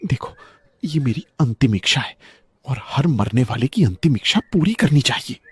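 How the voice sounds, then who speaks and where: A man voicing a scheming, sickly wolf who craves a crown, theatrical and self-important, in an animated moral story. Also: medium pitch, normal speed, background noise, close to an everyday voice. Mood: pleading.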